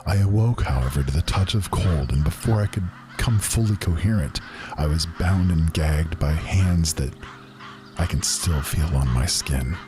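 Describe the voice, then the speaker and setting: A haunted, sorrowful man , played by an actor, tells a tale of dark fantasy horror. His voice is extremely low and his phrasing solemn, archaic and broken by pauses, with music playing softly underneath. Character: haunted, grief-stricken man recalling horrors of undead. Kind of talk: dramatic first-person fantasy narration.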